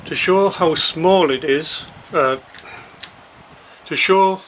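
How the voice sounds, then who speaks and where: A man giving a casual, unscripted unboxing commentary, thinking aloud in a halting, hesitant way as he reviews a product. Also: on the high side, fairly bright tone, slight background noise, rough recording.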